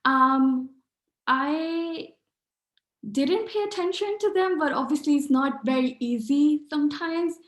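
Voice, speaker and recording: A woman's voice: high-pitched, slow. A young woman from Pakistan, an author speaking at a book event, earnest with many fillers and restarts. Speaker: author and artist. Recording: echoey room.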